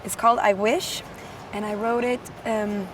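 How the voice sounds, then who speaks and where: A woman musician with a naturally hoarse voice, chatting in a friendly casual interview outdoors. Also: medium pitch, fairly even intonation, measured pace. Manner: friendly, laughs easily. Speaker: musician guest. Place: outdoors at a festival. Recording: distant mic, faint street noise.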